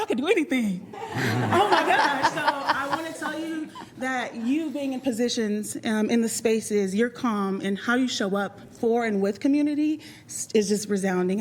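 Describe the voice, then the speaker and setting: A woman from Liberia speaks at a public meeting podium, lively and heartfelt. Her voice sits a bit high, and she tends to laugh between her thoughts. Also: noisy background. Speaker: public commenter.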